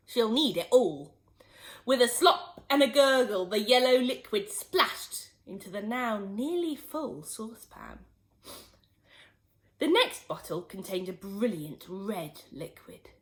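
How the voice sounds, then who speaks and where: A woman teacher reading a children's story aloud in an expressive, animated way. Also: medium pitch, takes it slowly.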